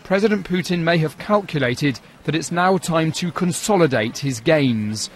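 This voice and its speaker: News reporter guy, kinda high voice, formal, bit of hiss. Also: lively intonation, normal speed. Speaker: news correspondent.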